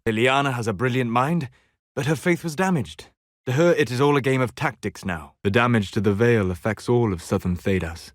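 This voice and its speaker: A man voicing a calm, scholarly elven mage in a video game, proud and melancholy, speaking in measured, formal, deliberate phrases. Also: medium pitch, no room sound, studio quality, natural voice. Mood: grave, reflective. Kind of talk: video game character dialogue.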